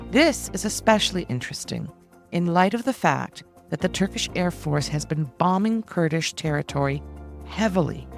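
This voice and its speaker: A middle-aged woman hosting a podcast, speaking in measured, deliberate, emphatic phrases as she introduces and questions. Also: low voice, vocal fry. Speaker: podcast host and interviewer. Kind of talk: scripted introduction and formal interview questions.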